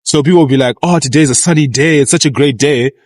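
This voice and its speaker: Measured young man, mid-high voice. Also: dry recording. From Zimbabwe. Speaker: interviewee. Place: outdoors on a street.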